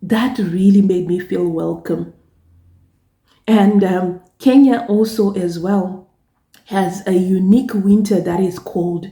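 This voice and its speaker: A woman from Zimbabwe with a Zimbabwean accent, Shona her first language, talking casually to camera in a slow, halting way with many repeated words and restarts. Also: medium pitch, dark tone, echoey room. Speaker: travel vlogger and gospel singer.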